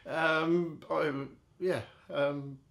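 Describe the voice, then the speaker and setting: A man's voice: a little high, fairly even intonation, extremely slow, creaky, a bit bright. A middle-aged man telling casual anecdotes as a bodybuilder guest in an interview, candid, jokey and self-deprecating.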